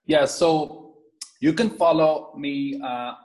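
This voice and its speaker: Enthusiastic Irish man pitching fast. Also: fairly even intonation, a little mellow. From Ireland. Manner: quick, enthusiastic pitch with many restarts and fillers. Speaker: podcast guest, app founder. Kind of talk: casual interview talk.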